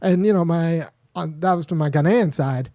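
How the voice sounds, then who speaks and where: Male host, casual rambling talker, slightly high voice, dry close recording. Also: moderate pace, mellow, dry recording. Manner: rambling, frequent restarts and fillers. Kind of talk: casual commentary monologue.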